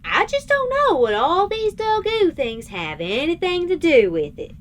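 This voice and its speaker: Casting: actress as folksy female space drifter, soft-hearted and playful, swooping pitch, unhurried drawl, sci-fi audio drama. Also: sing-song, heavily acted. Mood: doubtful, playful. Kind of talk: science fiction audio drama acting.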